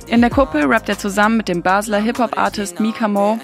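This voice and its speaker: A woman reporter narrates a radio feature in a clear, steady read. Her voice has a gravelly, creaky edge at an ordinary pitch. Soft music plays quietly behind her. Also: fairly quick.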